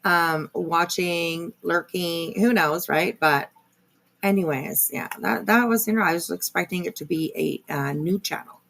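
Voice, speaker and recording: A woman with a fairly low, heavily creaky voice hosts a casual livestream reaction. She rambles through her commentary in a sardonic, confrontational way. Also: unhurried, a bit bright. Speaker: livestream host reacting to another creator's video.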